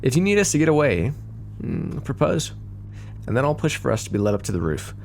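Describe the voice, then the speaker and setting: A man's voice: mid-range voice, big pitch swings, a little fast, gravelly, a little hiss or hum. A man playing a cocky, teasing mafia boss with a theatrical, flirty drawl in an ASMR roleplay. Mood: flirtatious, teasing.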